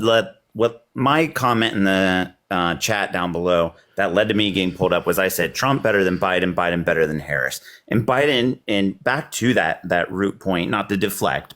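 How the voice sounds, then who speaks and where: A man arguing politics in a heated call, combative and rambling with many fillers, his voice a little hoarse. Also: lowish voice, fairly bright tone. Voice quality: slightly hoarse. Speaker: debate caller.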